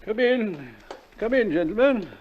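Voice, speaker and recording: An actor playing an injured, evasive doctor in a 1940s radio drama, speaking with dramatic pauses. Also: male voice, high voice, expressive, narrow, tinny audio, a little hiss or hum. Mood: apologetic, weary. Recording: vintage 1940s radio broadcast.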